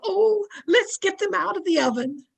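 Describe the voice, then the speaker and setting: Woman acting a high-voiced, chirpy best friend. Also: lively intonation, normal speed, gravelly, full character voice. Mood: dismayed. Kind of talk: old-time radio sitcom acting. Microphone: home microphone over video call.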